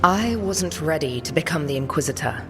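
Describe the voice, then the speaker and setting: An actress playing a weary, resolute woman leader in a video game, speaking in a measured, grave, commanding way. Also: sits low, expressive, long reverb tail, music in the background. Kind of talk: video game cutscene acting.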